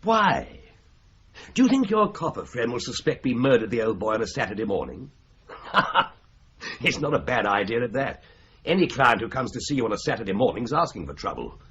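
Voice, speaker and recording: An actor playing a wry, offhand young clerk at a solicitors' firm in a radio drama. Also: male voice, mid-range voice, big pitch swings, brisk, a bit bright, husky, lo-fi sound, full character voice.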